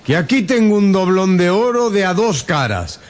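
A man's voice: sits high, very animated, moderate pace. An actor playing a jovial, gallant nobleman father of about fifty in a radio drama. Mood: genial, curious. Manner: hearty, gallant.

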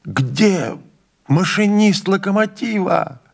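A man's voice: high-pitched, animated, slow pace, gravelly, pitched above the performer's own voice, exaggerated voice. A man voicing an anxious, doubtful young student with a tense, questioning tone. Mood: alarmed.

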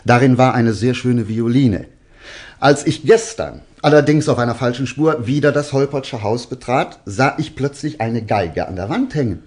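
An actor playing a self-assured male detective in a German radio drama, teasing out his revelations with deliberate, suspenseful storytelling. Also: medium pitch, a little mellow, natural voice. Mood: confident, probing.

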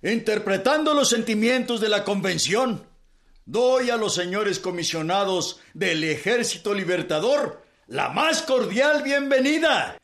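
Un actor que interpreta a un presidente de asamblea ceremonioso, que da la bienvenida con un discurso solemne y formal. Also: male voice, sits high, animated, unhurried, fairly bright tone, full character voice. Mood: ceremonious. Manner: solemn, formal welcoming speech. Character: ceremonious male convention chairman.

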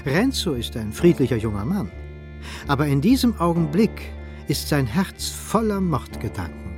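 A man's voice: a little high, sing-song, moderate pace, a little mellow, soft background music. A man narrating a literary radio play in a scripted, storytelling style.

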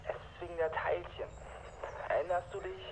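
An actor playing a reclusive, awkward young CB radio enthusiast in a German radio play, earnest and halting, heard through a radio filter. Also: male voice, high voice, flat delivery, noisy background. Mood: withdrawn. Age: young adult. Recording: muffled CB radio sound, faint ambience.

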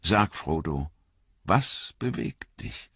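Man voicing stately ancient elf lord, slow solemn delivery, raised husky voice, dull lo-fi sound. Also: rumbling low, expressive, sharp tone, dry recording, exaggerated voice. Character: ancient noble male elf lord, gracious.

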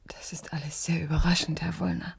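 An actress playing a hesitant, soft-spoken young wife in a German radio play. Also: female voice, low-pitched, little pitch movement, brisk, gravelly, a little hiss or hum. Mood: hushed, moved. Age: young adult.

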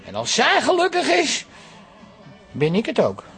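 A man's voice: extremely high pitch, huge pitch swings, unhurried, gravelly, over music, exaggerated voice. An actor playing a possessive, hot-tempered father, emotional and blustering, in a Dutch radio comedy. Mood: resigned.

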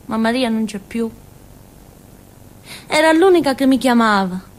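An actress playing a naive, simple-minded village woman in a theatre monologue, with a southern Italian accent and a childlike, halting, colloquial way of telling things. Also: fairly high pitch, animated, low-quality recording. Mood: wistful, adoring. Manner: childlike, halting, giggly, muttering little asides. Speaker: stage actress.

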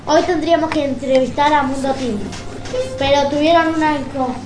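High-voiced Argentine woman, echoey radio. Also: steady tone, moderate pace, noisy background. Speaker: radio host. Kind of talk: informal live radio presenting. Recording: echoey room, music behind. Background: music.

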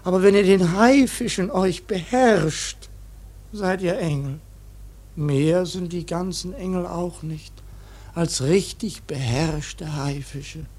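Husky, slow old ship's cook. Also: male voice, fairly high pitch, darkish tone, heavily acted. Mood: preachy, exasperated. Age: older. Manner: grumbling mock-preacher, swears constantly. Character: ancient stubborn ship's cook, pious yet cursing. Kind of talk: German radio play acting.

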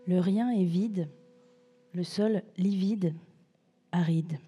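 Slow, mellow young woman reciting over guitar. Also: fairly low pitch. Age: young adult. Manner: fragmented, lingering on single words. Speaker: student writer reading collective texts. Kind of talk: poetry reading, spoken word. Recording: guitar music clearly behind voice. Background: plucked guitar.

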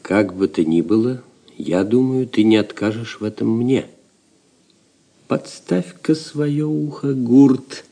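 A man's voice: medium pitch, slow, creaky, mellow. A man playing a resolute, secretive young pilgrim in an audio drama, earnest and commanding in manner. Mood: commanding, hushed.

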